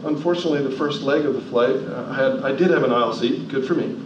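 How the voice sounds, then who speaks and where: A man's voice: medium pitch, quick pace. A man in his forties from New Jersey, giving a motivational talk with emphatic rhetorical questions and shifting pace. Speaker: motivational speaker. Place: large hall. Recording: echoey, distant, dull hall recording with noise.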